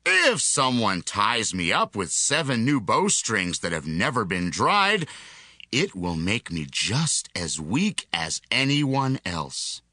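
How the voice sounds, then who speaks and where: Guy voicing a big proud strongman, low and slow, punchy bright tone. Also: huge pitch swings, no echo, studio quality, heavily acted. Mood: evasive. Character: mighty proud strongman Samson, grown man. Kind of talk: dramatized scripture reading.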